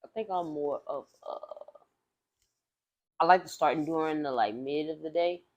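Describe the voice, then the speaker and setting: A woman's voice: sits low, normal speed, dull audio. A woman with a light voice, a singer talking loosely with many fillers as a podcast guest. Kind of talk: casual conversation.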